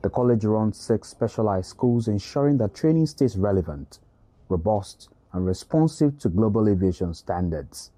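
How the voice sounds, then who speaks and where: A man's voice: on the low side, animated, normal speed, warm tone, dry recording. A man with a Nigerian accent narrating a scripted news feature as a reporter, with background noise.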